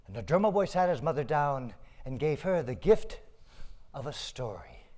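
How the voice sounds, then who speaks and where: A man's voice: mid-range voice, sing-song, moderate pace, darkish tone. A man speaking to an audience as a live storyteller and performer. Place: community hall performance.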